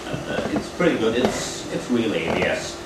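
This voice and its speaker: Man, very low voice, halting technical lecture, far from the mic, air-conditioning hum. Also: lively intonation, heavy reverb. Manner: halting, explanatory, with frequent pauses. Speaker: lecturer at a training event. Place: lecture room at a university.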